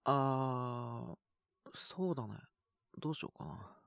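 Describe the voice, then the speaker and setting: A man with a sore, scratchy throat and a cough, chatting casually and intimately in soft, hesitant murmurs as if to someone beside him. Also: mid-range voice, slow pace, gravelly, no echo, studio quality. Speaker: voice actor making situation-voice videos. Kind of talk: intimate casual chat to an unheard partner.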